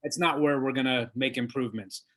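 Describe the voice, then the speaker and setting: A man being interviewed as an author, lawyer and diversity trainer, speaking in an earnest, measured and emphatic way. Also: medium pitch, fairly even intonation, a bit bright. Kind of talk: interview guest, conversational.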